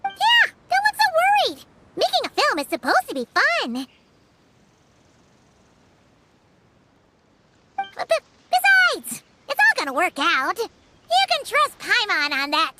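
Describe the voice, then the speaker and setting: A woman voicing a small, excitable fairy companion in a video game, who talks about herself in the third person and chatters brightly. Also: extremely high pitch, normal speed, dry recording, full character voice. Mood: reassuring. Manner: refers to herself in the third person, bubbly and exclamatory. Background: music.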